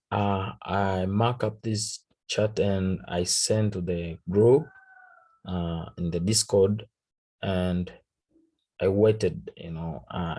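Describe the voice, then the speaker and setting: A man with a Tanzanian accent, a native Swahili speaker, casually talking through a trade recap in halting English, searching for words. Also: low-pitched, dark tone. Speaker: forex trader. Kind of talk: casual trading recap over a chart.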